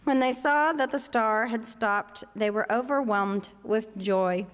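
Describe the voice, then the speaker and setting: A woman with a slightly high voice reads scripture aloud in a large church. Her delivery is slow and solemn, with deliberate phrasing, and the long reverb of the hall surrounds each phrase. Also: smooth. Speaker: scripture reader at a church service. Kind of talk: solemn scripture reading to a congregation. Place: inside a church sanctuary.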